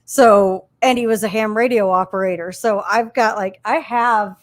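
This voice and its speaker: A woman's voice: mid-range voice, steady tone, normal speed. A woman chatting casually on an online show, telling stories and acting out what people said. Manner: chatty storyteller, acts out quotes. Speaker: co-host. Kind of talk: casual chat.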